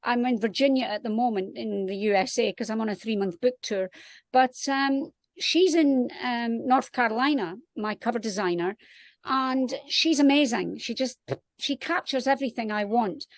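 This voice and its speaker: A woman's voice: on the high side, normal speed, no room sound. A woman from Scotland with a Scottish accent, talking casually outdoors as a guest author on a panel.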